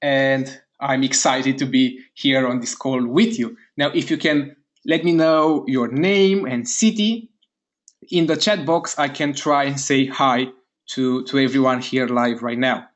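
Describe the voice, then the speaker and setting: Upbeat man hosting a live webinar. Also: mid-range voice. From Romania. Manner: upbeat, eager, speaks straight to a live chat audience; repeats words in quick bursts of praise. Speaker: webinar host. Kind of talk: live online sales webinar.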